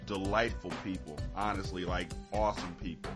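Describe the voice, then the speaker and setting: A man's voice: low-pitched, steady tone, normal speed, soft background music. A man hosting a podcast, telling stories in casual, joking, swear-filled chat. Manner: profane, joking, storytelling. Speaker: podcast host.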